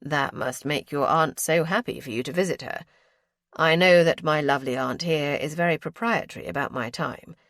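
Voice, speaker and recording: A woman's voice: low voice, normal speed, fairly bright tone, no echo. A woman voicing a reserved, wry young nobleman with a dry, polite manner.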